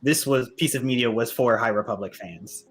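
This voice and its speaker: A man's voice: mid-range voice, moderate pace, a bit bright, soft background music. A man talking casually as a panelist in a fan discussion podcast.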